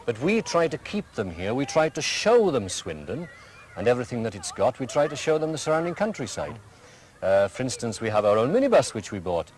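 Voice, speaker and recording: A middle-aged Welsh headmaster answering interview questions in a measured, explanatory way, giving plenty of examples. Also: male voice, medium pitch, sing-song, darkish tone, a little hiss or hum. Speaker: school headmaster. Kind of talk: archive community TV interview answers.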